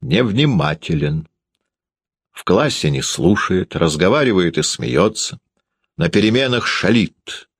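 A man's voice: low-pitched, big pitch swings, creaky, husky, no room sound, studio quality, full character voice. Man as a sullen, complaining schoolteacher. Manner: morose, complaining, slow. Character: sullen, gloomy male schoolteacher lodging petty complaints.

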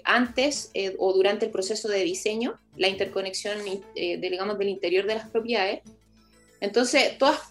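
A woman with a Chilean accent giving halting, explanatory answers as an official in an informal radio interview, often rephrasing as she goes. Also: mid-range voice. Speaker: municipal project official being interviewed.

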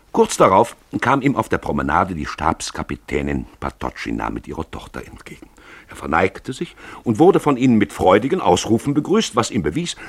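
A man's voice: low voice, slightly rough, no room sound. A man giving theatrical, wry literary narration as the storyteller of a radio play.

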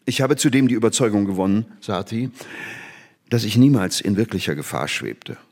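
An older man acting a disgraced, bitter old scientist in a radio play, lecturing in a deliberate, declamatory way. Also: lowish voice, lively intonation, a little mellow, long reverb tail. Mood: bitter confession. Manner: declamatory, deliberate rhetorical phrasing.

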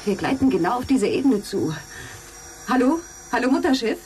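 An actress playing a self-assured, sharp-tongued space pilot in a radio drama, crisp and independent. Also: female voice, on the high side, big pitch swings, normal speed. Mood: focused. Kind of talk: German radio drama acting.

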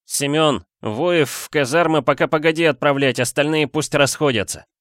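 A narrator as commanding young lord, high-pitched and stern. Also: male voice, lively intonation, normal speed, a bit bright, no room sound, studio quality. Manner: imperious, declamatory.

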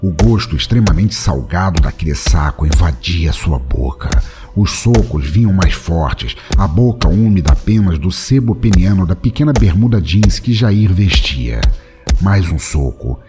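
A man's voice: low-pitched, expressive, unhurried. A Brazilian man delivering dramatic scripted narration for an audio drama. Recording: narration over loud background music.

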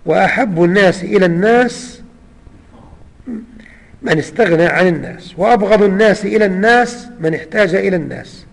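High-voiced man giving a religious lesson. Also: creaky, in a large hall, low-quality recording. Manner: recites verses then explains them, repeats lines. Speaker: Islamic scholar teaching. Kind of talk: religious lesson explaining poetry.

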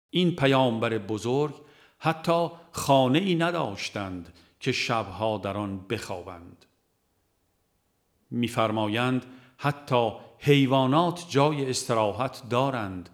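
A man narrates a religious book in a mid-pitched voice. His delivery is measured and formal, following a written text. Instrumental music plays behind him. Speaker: audiobook narrator.

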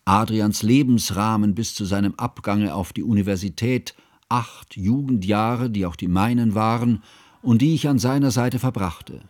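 An actor playing a bookish, self-conscious scholar who recalls his youth in measured, ornate literary narration for a radio play. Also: lowish voice, darkish tone, lightly acted. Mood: reflective, scrupulous. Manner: measured, formal, ornate phrasing. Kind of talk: literary first-person narration, German radio drama.

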